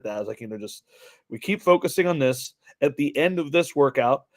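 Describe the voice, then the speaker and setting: A man's voice: mid-range voice, expressive, brisk. A man co-hosting a podcast, telling things in a casual, rambling way and often quoting himself as he goes. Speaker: podcast co-host, fitness coach. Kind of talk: casual conversational storytelling.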